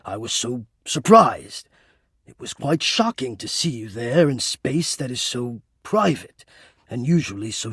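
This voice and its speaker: A shy, courtly male dating-game character, hopelessly devoted and earnest. His mid-pitched voice is soft-edged and breathy. He speaks in formal, halting phrases with romantic intensity, in a very dry recording. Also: no echo, heavily acted. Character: shy, lovestruck, courtly living ventilation system, adult male. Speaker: video game voice actor.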